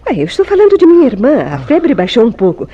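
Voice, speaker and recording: A sweetly deceitful young wife in a Brazilian radio soap. Her voice is soft-edged and husky, swinging widely in pitch with tender, anxious, theatrical emotion. She keeps formal diction and a Brazilian accent. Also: wildly swooping pitch, fast talker, muffled recording. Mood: reassuring, evasive. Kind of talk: old-fashioned Brazilian radio soap acting.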